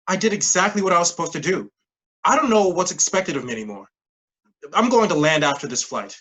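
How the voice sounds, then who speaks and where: An actress as a defensive, indignant woman underling in a stage play. Her delivery is blunt and swings widely in pitch, with a husky edge. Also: animated, a little fast, a bit bright. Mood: aggrieved.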